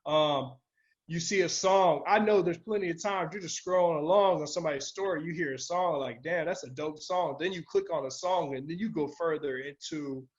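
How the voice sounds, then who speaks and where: A man with a fairly high voice chats as a podcast co-host, recorded slightly dull and muffled. His talk is blunt and slangy, full of casual swearing. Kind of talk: casual chat, podcast discussion.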